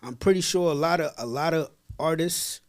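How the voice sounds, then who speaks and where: A man's voice: a little high, moderate pace. A man hosting a casual interview in loose slang, often checking that he is understood. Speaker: interview host.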